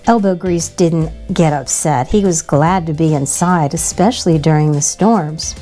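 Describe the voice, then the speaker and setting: A woman reading a children's picture book aloud as expressive narration. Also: low-pitched, measured pace, faint music behind. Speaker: children's storybook reader.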